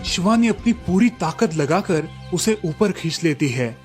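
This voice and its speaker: Higher-pitched male story narrator. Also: animated, measured pace, soft background music. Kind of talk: scripted narration of a Hindi moral cartoon story.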